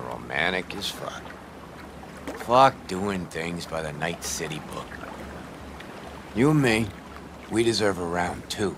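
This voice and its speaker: A man's voice: low voice, background noise, heavily acted. A man playing a brash, hedonistic middle-aged rock star in a video game, swaggering, playful and profane.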